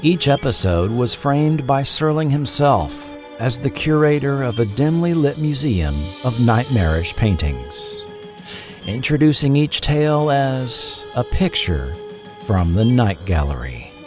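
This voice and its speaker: A man narrating a scripted history documentary as its host, with deliberate, dramatic phrasing. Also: mid-range voice, sing-song, music in the background.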